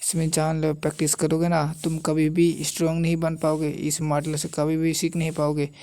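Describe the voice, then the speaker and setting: A man's voice: on the high side, completely flat delivery, brisk, mellow, lightly acted. A man voicing a proud, scolding old martial arts master spirit with a boastful, condescending manner in a story recap.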